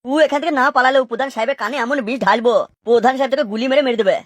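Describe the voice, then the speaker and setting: A woman's voice: sits high, rapid-fire, no echo. A woman voicing a bossy, crude, scheming male mason in a comic cartoon. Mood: gloating menace. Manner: crude, nagging, commanding.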